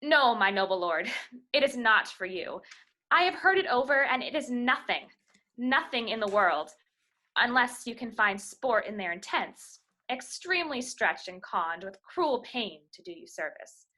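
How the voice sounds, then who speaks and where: A woman's voice: a little high, very animated, measured pace, pushed and bright, full character voice. A woman playing a deferential but disdainful court master of revels, reading Shakespearean verse at an amateur table read over video call. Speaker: amateur reader in a play.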